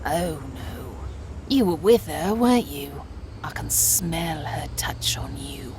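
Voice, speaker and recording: An ancient, eccentric street crone in a comic dub. Her voice sits on the low side as she rambles, coaxes and threatens with quaint endearments. The track has clear background noise. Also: takes it slowly. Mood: sly, crooning. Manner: rambling, cajoling, threatening with old-fashioned endearments. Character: eccentric, menacing, ancient female street crone, 250 years old. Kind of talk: comic dub character acting.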